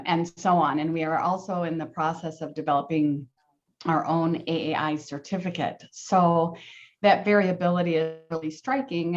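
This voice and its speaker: A woman in her fifties from the United States, an occupational therapist, introducing herself warmly in a video meeting with light laughs. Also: lowish voice. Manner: friendly, laughs between phrases. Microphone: video call. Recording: dull lo-fi video call audio.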